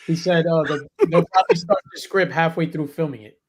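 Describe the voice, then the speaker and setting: A man's voice: on the high side, steady tone, fairly quick. A man hosting a casual pop culture panel, joking and swearing as he reads out comments and topics. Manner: profane, jokey, reads viewer comments. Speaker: podcast host.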